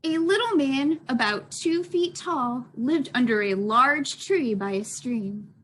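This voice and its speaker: A woman's voice: high voice, expressive, slow pace, breathy edge, poor audio. A woman librarian reading aloud for a children's storytime, expressively addressing young listeners.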